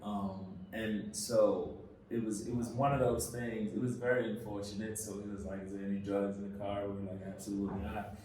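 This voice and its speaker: A man from Senegal, a guest in an interview, telling his story casually with many pauses, fillers and restarts. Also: on the low side, little pitch movement, a little mellow. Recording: echoey room, mic at a distance, faint noise.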